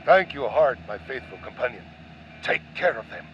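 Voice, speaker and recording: A man's voice: animated, normal speed, close to an everyday voice. A man playing a brave, devoted father and pilot in a radio play, earnest, dramatic and tender. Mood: tender, resolute. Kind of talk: audio drama acting. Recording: muffled, faint vehicle rumble.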